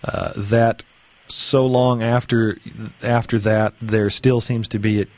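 A man's voice: medium pitch, level tone, slow pace, darkish tone. A man hosting a call-in radio show, asking long, wandering questions and reading out phone numbers. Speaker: radio host.

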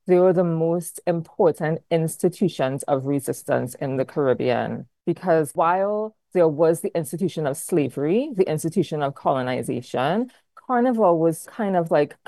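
Woman, low voice, professor explaining history, dry close sound. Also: a little mellow, no echo. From Trinidad and Tobago. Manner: thoughtful, explanatory, with fillers like "kind of, like". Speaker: art history professor, guest. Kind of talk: academic interview answers.

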